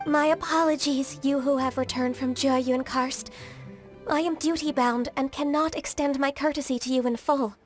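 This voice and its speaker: An actress playing a dutiful young secretary and emissary in a game, formal, courteous and earnest. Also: female voice, high-pitched, steady tone, moderate pace, faint music behind, close to an everyday voice. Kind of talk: English game dub cutscene acting.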